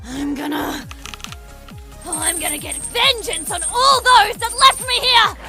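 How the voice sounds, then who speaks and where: Woman playing a fiery, very high-pitched female convict. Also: animated, measured pace, music in the background, a crowd in the background. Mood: angry, defiant. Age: 30s. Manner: indignant, blunt. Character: foul-mouthed, fiery young female convict killer, defiant. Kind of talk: audio drama acting.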